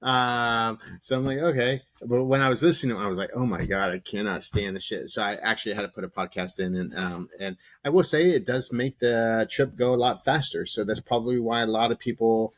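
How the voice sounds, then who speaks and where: Candid, loose-talking man on a podcast. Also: mid-range voice. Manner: quick, loose and candid, with casual swearing. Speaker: podcast co-host. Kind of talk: casual chat.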